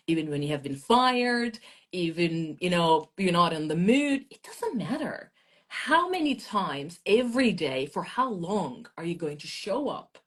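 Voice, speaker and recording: A woman of around forty whose first language is Azeri answers interview questions. She speaks in an emphatic, motivational way, and her voice sits a little lower than usual for a woman. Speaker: guest, language education expert.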